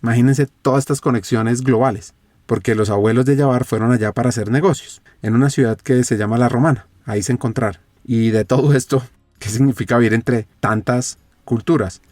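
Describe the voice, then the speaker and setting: A man's voice: mid-range voice, steady tone, fairly quick, darkish tone, no room sound. A podcast host narrating a guest's background and asking questions, speaking straight to his listeners. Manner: storytelling, addressing listeners directly. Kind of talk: scripted narration and interview questions.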